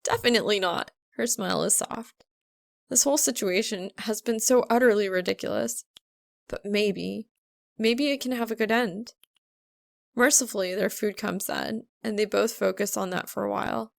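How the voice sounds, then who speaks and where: A woman's voice: medium pitch, normal speed, creaky, no room sound, clean studio sound. A woman narrating scripted fiction as an audiobook reader.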